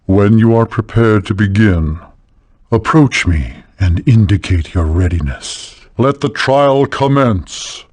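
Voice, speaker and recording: An actor playing a solemn, commanding keeper of spirit trials in a fantasy video game, with a husky voice and measured, instructive delivery. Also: male voice, fairly low pitch, lively intonation, darkish tone, dry recording.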